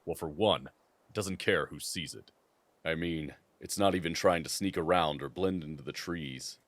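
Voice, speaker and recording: A man's voice: extremely low pitch, normal speed, fairly bright tone, dry recording. A man acting a cautious, serious leader of werewolf scouts in an audio drama. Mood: worried, urgent.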